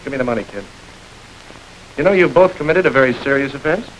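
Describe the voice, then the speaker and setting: An actor playing a cool gang boss. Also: male voice, medium pitch, quick pace, slight background noise, natural voice, rough recording. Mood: calm authority. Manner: cool, controlled, quietly commanding. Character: smooth calculating gang boss, man. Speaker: gang chief. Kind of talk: 1930s film acting.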